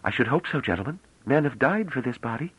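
Male narrator as young woman, proud, coy, careful diction, lifted pitch, lively swoops, storybook emissary. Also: quick pace, husky, dry recording, muffled recording. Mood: playful, coy. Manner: slightly hesitant, careful pronunciation, solemn and playful.

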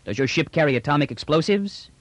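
A man's voice: on the high side, animated, fast talker, fairly bright tone, no room sound, voice pushed up. A man voicing a confident, coolly menacing male imperial general with formal, precise, authoritative speech in an audiobook. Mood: commanding. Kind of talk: audiobook character dialogue.

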